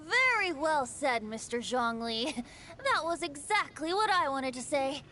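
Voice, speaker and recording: A woman's voice: high voice, expressive, a little slow, sharp tone, heavily acted. A woman voicing a playful, youthful, self-effacing wanderer in an anime game, teasing and polite. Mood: gracious, bashful.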